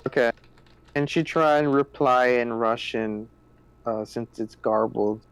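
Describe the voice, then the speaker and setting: A man with a clean, smooth voice at middle pitch plays a tabletop game. He describes what his character does in a casual, matter-of-fact way. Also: a little slow, no room sound.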